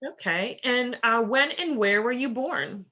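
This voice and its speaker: A woman's voice: on the high side, expressive, measured pace, bright, forceful tone, dull audio. A woman hosting a casual podcast interview, upbeat and chatty, with plenty of fillers and "like". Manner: upbeat, lots of fillers and 'like'. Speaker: podcast host.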